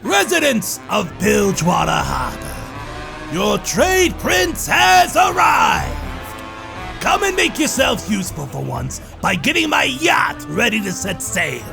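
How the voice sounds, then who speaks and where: A man voicing an arrogant, greedy goblin trade prince with a gravelly, sharp voice and a blustering, insulting manner in a fan audio drama. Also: high voice, big pitch swings, slow, music in the background, heavily acted. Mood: commanding.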